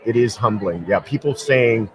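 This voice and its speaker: A man who is a lawyer and podcast host, chatting casually as a guest at a convention booth and telling lively stories. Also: fairly low pitch, moderate pace, a crowd in the background, rough recording. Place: busy convention floor.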